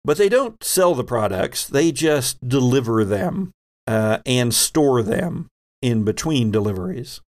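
A man narrating a tabletop game podcast as host and game master, with dramatic storytelling phrasing and deliberate pauses. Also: mid-range voice, sing-song, a little mellow.

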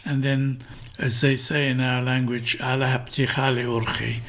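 A man's voice: mid-range voice, level tone, moderate pace, a bit bright. Older Iraqi-born man recalling the past. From northern Iraq. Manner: hesitant, repeats and restarts words. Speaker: interview guest. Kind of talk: reminiscing in an interview.

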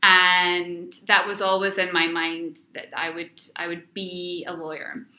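A woman's voice: lowish voice, flat delivery, measured pace, sharp tone. A middle-aged woman with a Scottish accent, chatting through interview answers and often repeating phrases as she rephrases. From Scotland. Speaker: interview guest, lawyer. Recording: slightly dull, roomy interview recording.

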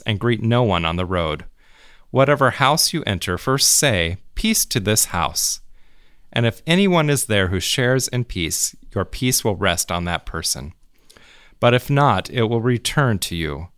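A man reading scripture and a short devotional in a measured, solemn way. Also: lowish voice, fairly bright tone, smooth, no echo, studio quality. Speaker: church music director, scripture reader.

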